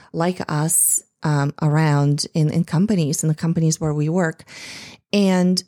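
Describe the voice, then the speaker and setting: A woman's voice: lowish voice, warm tone, dry recording, studio quality. A woman in her forties gives an impassioned, earnest talk to women in tech, with many "like"s and restarts. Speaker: speaker addressing women in tech. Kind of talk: earnest speech to an audience.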